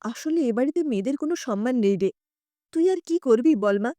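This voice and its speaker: A woman voicing a meek, resigned older mother-in-law, gentle and self-effacing, in an audio story. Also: on the high side, lively intonation, fairly quick, no room sound, studio quality, pitched below the performer's own voice, natural voice. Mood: resigned, sorrowful. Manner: soft-hearted, self-effacing.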